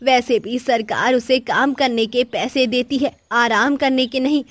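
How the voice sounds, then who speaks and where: A woman's voice: fairly high pitch, measured pace, a bit bright, no echo. A woman voicing a cranky, scheming old village woman who whines and makes dramatic demands in a children's cartoon. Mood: smug. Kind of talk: children's cartoon moral story acting.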